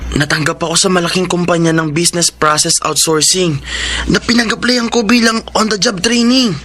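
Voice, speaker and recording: An actor playing a cheerful, caring young elder brother in a radio drama, teasing and upbeat. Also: male voice, high-pitched, fairly even intonation, normal speed, pushed and bright. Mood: proud, excited. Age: young adult.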